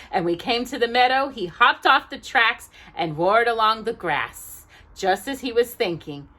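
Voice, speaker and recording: A woman reads a children's story in an expressive way. Her pace is slow and her pitch moves a great deal, with deliberate, drawn-out emphasis meant for young listeners.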